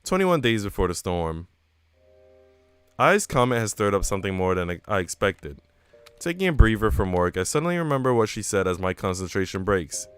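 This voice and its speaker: Low, smooth-voiced man streaming a game. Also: sing-song, moderate pace. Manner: casual, slangy asides. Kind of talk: visual novel read-aloud with casual chat. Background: music.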